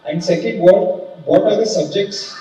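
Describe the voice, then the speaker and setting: Young man, mellow voice, earnest formal talk, dull distant echoey hall sound. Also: high-pitched, measured pace. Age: 20s. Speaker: guest speaker, civil judge. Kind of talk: motivational talk. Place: large conference hall.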